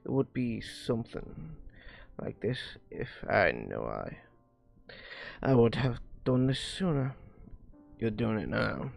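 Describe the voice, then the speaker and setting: A man reading visual novel dialogue aloud on a live stream, haltingly, often stumbling over words and repeating phrases. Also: mid-range voice, gravelly, highs cut off.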